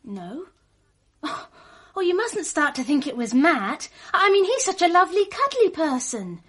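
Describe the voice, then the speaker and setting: An actress playing an anxious, protective witness in a radio drama, earnest, defensive and a little faltering. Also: female voice, extremely high pitch, sing-song, a little slow, fairly bright tone, exaggerated voice.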